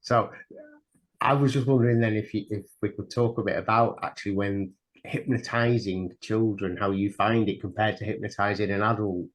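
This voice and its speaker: A man co-hosting a podcast, asking questions in a halting, searching way. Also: lowish voice, fairly even intonation, poor audio. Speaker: podcast co-host. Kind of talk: podcast interview.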